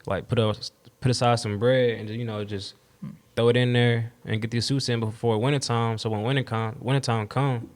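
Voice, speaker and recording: A man in his twenties, a guest on a podcast, telling his story in a relaxed, slangy way. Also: medium pitch, normal speed. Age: 20s. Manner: laid-back, slangy storytelling. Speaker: guest, clothing brand owner. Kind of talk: casual interview chat.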